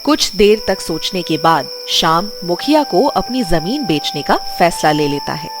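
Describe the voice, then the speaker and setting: A woman's voice: lowish voice, expressive, unhurried. A woman reading scripted narration for a children's bedtime story. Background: music.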